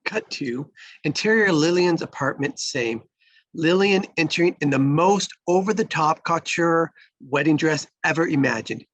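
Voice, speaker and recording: A man's voice: on the high side, animated, normal speed, fairly bright tone, no echo, muffled recording. A man reading stage directions as the narrator of a screenplay table read.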